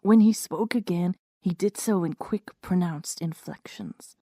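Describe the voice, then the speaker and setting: A woman's voice: fairly low pitch, measured pace, warm tone, dry recording. A woman reading scripted first-person story narration in a wry, understated way.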